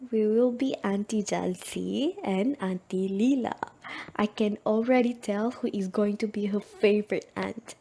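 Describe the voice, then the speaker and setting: A woman playing an affectionate, excitable young friend in an amateur radio drama. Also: high-pitched, creaky, heavily acted.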